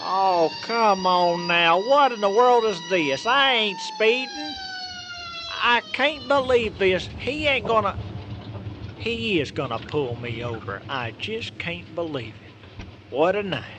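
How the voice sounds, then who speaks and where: Radio drama trucker, male actor: drawl, slow, stammering, exasperated, folksy, road noise behind him. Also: high-pitched, lively intonation. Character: flustered, weary country trucker, talking to himself. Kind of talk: old-time radio drama acting. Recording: clear vehicle noise behind.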